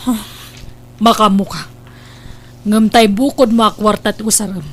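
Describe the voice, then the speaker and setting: Embittered wife, scornful, emphatic, accusing, radio drama. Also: female voice, mid-range voice, steady tone, a little slow, husky. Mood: scornful, angry. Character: betrayed, embittered wealthy wife confronting her husband. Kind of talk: Filipino radio drama acting.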